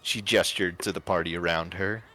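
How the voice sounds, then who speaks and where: A man's voice: low-pitched, fairly even intonation, moderate pace, bright, forceful tone. A man reading a story aloud as a livestream narrator, expressively and with casual asides. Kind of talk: expressive fan fiction read-aloud with casual banter. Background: music.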